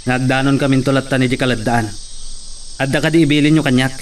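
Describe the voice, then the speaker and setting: A man's voice: mid-range voice, fairly even intonation, fast talker, recorded from a distance, a little hiss or hum, lightly acted. A man playing a dutiful, watchful henchman in a radio drama, with an earnest manner. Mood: respectful. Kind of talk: Ilocano radio drama acting.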